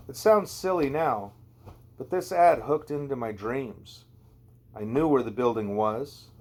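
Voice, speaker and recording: A man's voice: mid-range voice, darkish tone. A man narrating a first-person novel as an amateur audiobook reader, in a conversational, wry storytelling way.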